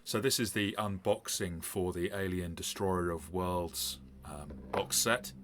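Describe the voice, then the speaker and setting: A man with a very low voice gives a rambling, casual unboxing commentary, full of fillers and restarts. He talks as a game master looking over a set. Steady hiss and noise sit under the recording. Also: measured pace.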